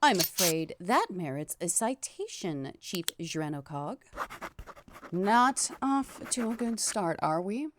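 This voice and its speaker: A woman voicing a fussy, officious, easily scandalized female inspector in an animated comic dub. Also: lowish voice, slow pace, noisy background. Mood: officious, condescending. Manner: officious, pedantic, flustered outbursts. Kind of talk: animated fandub voice acting.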